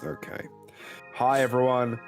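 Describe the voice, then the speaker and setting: A man's voice: medium pitch, level tone, a little slow, soft background music. A young man co-hosting a podcast in casual chat, his throat sore and cracking, nose stuffy, laughing often as he rambles. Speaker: podcast co-host.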